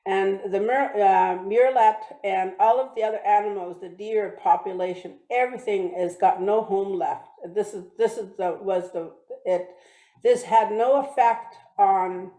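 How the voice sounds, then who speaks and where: A woman from British Columbia giving a talk with slides, speaking haltingly with many restarts and fillers. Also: medium pitch, warm tone, reverberant, poor audio. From British Columbia, Canada. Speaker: guest speaker. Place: church hall or online meeting.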